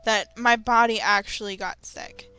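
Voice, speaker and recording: A young adult woman giving a candid, confessional vlog talk, speaking haltingly and often repeating phrases. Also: fairly high pitch, steady tone, sharp tone, clear, resonant tone. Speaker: video creator. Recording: music behind the voice. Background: background music.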